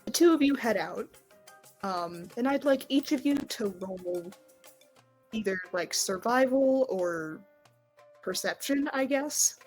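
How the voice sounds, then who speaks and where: A woman's voice: on the high side, lively intonation, slow, faint music behind. A woman narrating a tabletop game as game master, improvising in informal, hesitant phrasing.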